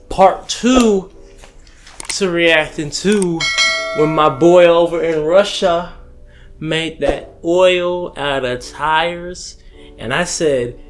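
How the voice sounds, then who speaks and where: A young man with a fairly high voice hosts a reaction video. He talks in an excitable, brash way, swears freely and swings between loud bursts and quieter asides as he explains things casually to his viewers.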